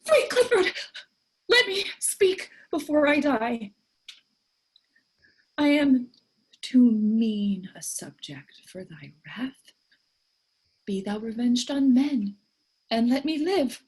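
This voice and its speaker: A woman's voice: fairly high pitch, very animated, slow, long pauses, heavily acted, rough recording. A woman playing a frightened young boy who pleads for life in a staged reading of Shakespearean verse. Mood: pleading. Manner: pleading, breathy. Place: online video call.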